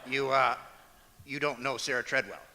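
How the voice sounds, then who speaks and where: A man playing a dry, patient, deadpan husband humoring his wife in an old-time radio comedy, speaking hesitantly. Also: medium pitch, normal speed. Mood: dry, bemused. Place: performing arts center auditorium, live stage. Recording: echoey auditorium, faint background noise.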